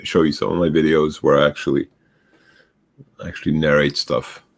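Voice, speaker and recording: A man with a deep voice, chatting casually on a good microphone, unhurried and a bit hesitant. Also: little pitch movement. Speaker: guest. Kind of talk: casual chat. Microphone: good home mic.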